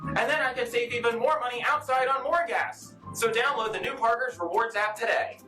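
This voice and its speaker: A man delivering a scripted, chirpy sales pitch for an advert. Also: a little fast, music in the background, low-quality recording.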